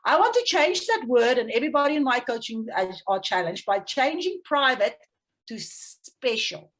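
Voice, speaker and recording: High-voiced Afrikaans-woman coach. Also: sing-song, moderate pace. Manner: warm storytelling, laughs often, checks in with "okay". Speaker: parenting coach. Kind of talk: interview guest explaining.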